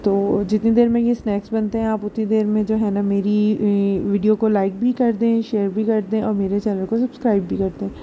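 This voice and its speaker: घरेलू अंदाज़ में बोलती महिला कुकिंग होस्ट. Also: female voice, medium pitch, little pitch movement, fairly quick, hardly any pauses, dull audio, a little hiss or hum. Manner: chatty, homely, many fillers like "jo hai na". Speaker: home cooking channel host. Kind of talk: casual cooking tutorial voice-over. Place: home kitchen.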